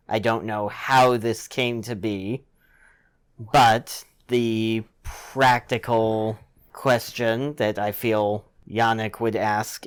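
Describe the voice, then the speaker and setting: A man's voice: lowish voice, clear, resonant tone. A man playing a tabletop roleplaying game, thinking aloud in casual table talk.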